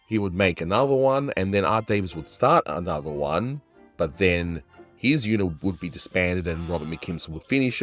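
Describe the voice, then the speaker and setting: A man hosting a casual animation review, chatty and analytical, with frequent restarts. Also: low voice. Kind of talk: casual cartoon review commentary.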